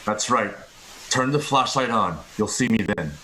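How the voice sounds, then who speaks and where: A man's voice: lowish voice, expressive, measured pace, sharp tone, a little hiss or hum, rough recording. A man playing a scheming, gloating murderer with sneering menace in an amateur radio play reading. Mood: menacing.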